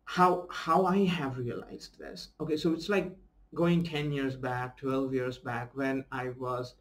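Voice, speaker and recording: A man's voice: medium pitch, steady tone, slow pace. A young adult man from India, a native Bengali speaker with an Indian English accent, giving an earnest, enthusiastic self-help talk as a life coach and often checking in with "okay?". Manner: earnest and enthusiastic, tags sentences with a questioning "okay?". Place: small room at home, indoors, seated in a desk chair.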